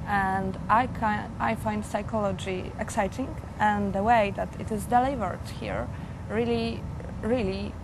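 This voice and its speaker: A woman, a psychology student, giving earnest, measured interview answers. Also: mid-range voice, fairly even intonation. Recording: audible background noise.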